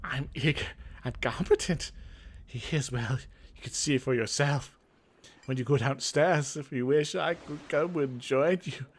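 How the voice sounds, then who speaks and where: A man's voice: medium pitch, unhurried. A man voicing a proud, impatient young sorceress in a video game, eager for battle and boastful. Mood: insistent, competitive.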